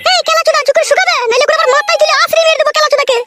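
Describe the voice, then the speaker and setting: A woman voicing a bossy, sharp-tongued young wife in an amateur comic cartoon, scolding curtly with foul-mouthed threats. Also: extremely high pitch, fairly even intonation, racing. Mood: menacing, furious. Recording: loud noise behind the voice.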